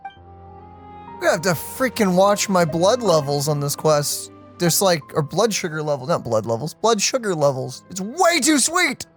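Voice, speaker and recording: A woman's voice: fairly low pitch, big pitch swings, a little slow, faint music behind. A woman giving casual let's play commentary as a gaming host, joking and playfully exasperated.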